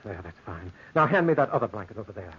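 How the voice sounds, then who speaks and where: A man's voice: on the low side, sing-song, fast talker, a bit bright, breathy edge, muffled recording, exaggerated voice. An actor playing a firm, reasoning doctor who admonishes his patient in a 1940s radio drama. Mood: calm, practical.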